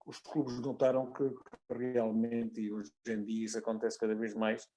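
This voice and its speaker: A man with a European Portuguese accent giving his view as a football guest, halting and repeating words as he argues. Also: mid-range voice, flat delivery, dark tone. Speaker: football guest, former player. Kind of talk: casual panel discussion.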